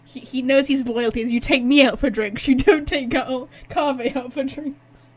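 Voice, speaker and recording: A woman giving casual, expressive gameplay commentary as a streamer, teasing and informal. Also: high voice, moderate pace. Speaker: streamer reacting to a game story.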